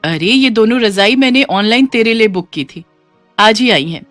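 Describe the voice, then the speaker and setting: A considerate lady of the house, played by a woman in an animated moral tale. She talks in a kindly, informal way while giving instructions. Music runs softly behind her. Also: on the high side, normal speed, no echo. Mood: kind, reassuring. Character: kind, considerate middle-class lady employer of a maid.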